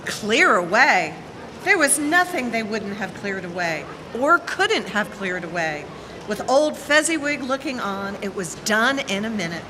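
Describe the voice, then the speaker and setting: A woman narrating a scripted dramatic reading as a storyteller. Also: on the high side. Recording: reverberant hall, faint noise.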